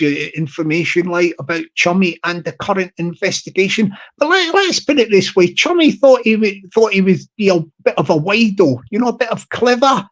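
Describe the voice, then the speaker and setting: A man with a high-pitched tenor voice, chatting to camera in a rambling, repetitive way. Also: highs cut off. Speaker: YouTuber. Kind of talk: casual monologue to camera.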